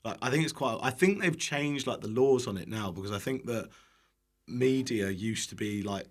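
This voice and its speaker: A man's voice: medium pitch, normal speed. Chatty young British man, rambling. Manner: rambling, self-interrupting, acts out quotes. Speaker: podcast guest, online cook. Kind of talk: casual anecdotal chat.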